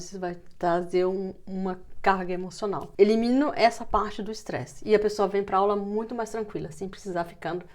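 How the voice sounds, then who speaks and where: A woman with a Brazilian Portuguese accent, speaking as a language teacher in an informal, explanatory talk, stressing key words. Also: medium pitch.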